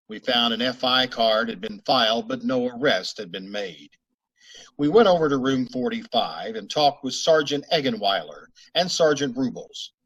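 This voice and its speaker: Casting: a man doing a deadpan police sergeant, husky mid-range voice, clipped and flat, radio play. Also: medium pitch, low-quality recording. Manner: clipped, flat, matter-of-fact. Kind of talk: old-time radio drama recreation.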